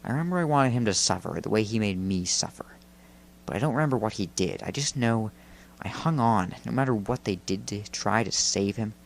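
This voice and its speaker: A man's voice: on the low side, lively intonation, brisk, creaky, a little hiss or hum. A man voicing an angry young male spirit in a story, defensive, snappish and brooding. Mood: bitter, brooding.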